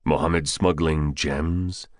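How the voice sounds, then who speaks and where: A man's voice: rumbling low, steady tone, slow pace, no echo, clean studio sound. A man voicing a terse, commanding male soldier in an audiobook, with clipped, curt delivery. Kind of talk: audiobook character voice.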